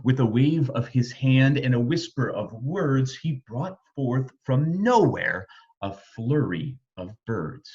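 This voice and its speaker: Male children's storyteller: slow, very lively intonation, rhythmic verse reading, slightly dull recording. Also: mid-range voice. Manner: rhythmic, animated reading of rhyme. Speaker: storybook reader. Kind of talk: expressive scripted children's storytelling.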